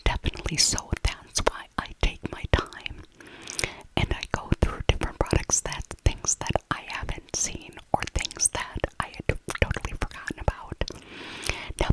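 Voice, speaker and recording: Whispering middle-aged woman, ASMR chat. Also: rough recording. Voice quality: soft unvoiced whisper. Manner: rambling, repeats phrases. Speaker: ASMR vlogger. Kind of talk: whispered ASMR voiceover, casual shopping chat.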